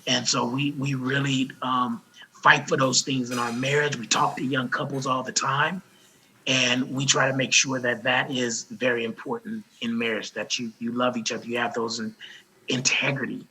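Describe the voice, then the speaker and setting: A raspy-voiced man from Los Angeles, speaking as an interview guest in an earnest, grateful way, often restarting his sentences. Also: medium pitch, flat delivery, moderate pace, pushed and bright, a little hiss or hum, poor audio. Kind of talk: casual interview talk.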